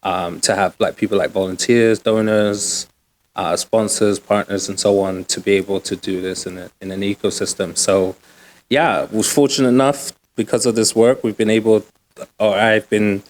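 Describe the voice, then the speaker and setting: Laid-back man, low voice. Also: moderate pace, low-quality recording. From Uganda, raised in the UK. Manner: relaxed, slangy, many fillers. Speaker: guest, nonprofit co-founder. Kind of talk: casual interview talk.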